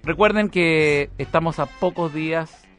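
A man's voice: on the high side, unhurried, a bit bright, soft background music. A Chilean man hosting a casual chat, probing with questions. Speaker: host.

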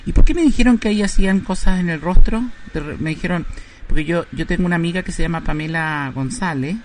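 A man's voice: a little high, fast talker, slight background noise, close to an everyday voice. A man voicing a chatty, nosy woman caller who keeps pressing with questions on a prank call. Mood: pestering.

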